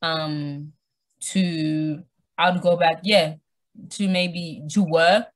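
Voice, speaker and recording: A woman's voice: deep voice, drawn-out words. A young woman from Australia, born in Zimbabwe, talking casually as a podcast guest and acting out bits of dialogue with colloquial slang. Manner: animated storytelling, acts out imagined dialogue, colloquial slang. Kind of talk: casual conversational interview.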